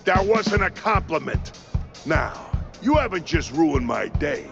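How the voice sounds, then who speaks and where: Evil mouse captain, croaky and drawn out, mocking, muffled w music behind. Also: male voice, on the high side, sing-song. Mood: menacing. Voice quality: gravelly. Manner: slow, mocking, threatening. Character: menacing, theatrical villainous male mouse ship captain.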